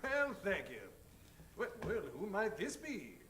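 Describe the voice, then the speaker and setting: A man's voice: high voice, huge pitch swings, measured pace, a bit bright, full character voice. A man playing a pompous, breezily self-assured wealthy amateur detective in improvised old-time radio comedy. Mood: breezy, smug. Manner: pompous, theatrical. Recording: roomy live stage, distant mic, faint noise.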